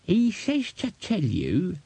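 Man doing a grumpy old male servant, cranky, high strained voice, slow drawling, swooping pitch, dry close sound. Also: wildly swooping pitch, creaky, dark tone, husky, no echo, full character voice. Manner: bawling, quarrelsome, slow drawn-out. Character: cranky old male manservant, gruff, suspicious.

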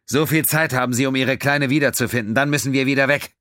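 A man voicing a gruff male starship pilot who stays cocky under pressure, talking in clipped, wry bursts. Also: mid-range voice, steady tone, fast, sharp tone, no room sound, clean studio sound, voice pushed up, lightly acted. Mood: blunt.

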